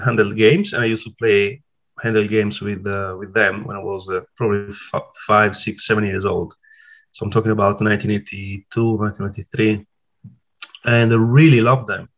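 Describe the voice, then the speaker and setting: A man in his forties from Italy with an Italian accent, speaking as an interview guest in a relaxed, hesitant way with many fillers and restarts. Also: on the low side. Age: 40s. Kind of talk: casual interview talk.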